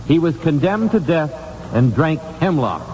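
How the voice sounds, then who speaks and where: A man's voice: fairly high pitch, sing-song, unhurried, big-room sound, background noise. An American man hosting a 1950s radio quiz show, putting questions to contestants on an old broadcast recording.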